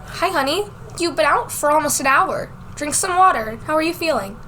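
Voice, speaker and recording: Very high, bright, sugary school nurse, acted by a woman. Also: expressive, normal speed, slight background noise, rough recording. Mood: soothing. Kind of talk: amateur radio drama acting.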